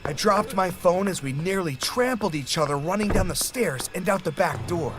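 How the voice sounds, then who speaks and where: A man's voice: high-pitched, expressive, moderate pace. A young man narrating a scripted first-person horror story, with music behind him.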